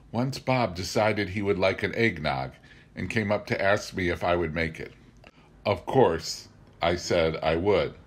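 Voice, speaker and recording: A middle-aged man narrating a memoir as a scripted reading, with measured, deliberate phrasing. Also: low voice. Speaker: amateur audiobook reader.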